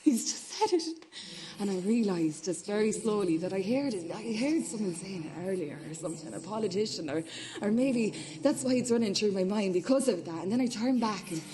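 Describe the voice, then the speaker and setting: An actress playing a shaken, obsessive witness, delivering an intense and halting radio drama monologue with repeated phrases. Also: female voice, mid-range voice, animated, crowd noise, natural voice. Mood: agitated. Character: shaken, obsessive witness recounting violent footage.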